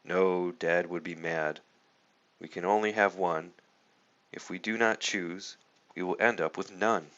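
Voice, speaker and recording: A man reading a children's storybook aloud in an expressive, playful, rhythmic way. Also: deep voice, measured pace, lo-fi sound. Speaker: storybook reader.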